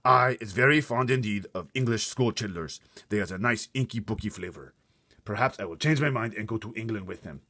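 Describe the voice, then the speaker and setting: A man's voice: fairly low pitch, brisk, sharp tone, dry recording, pitched above the performer's own voice. A man voicing a huge, greedy, bullying male giant for a storybook, bellowing insults in broken grammar.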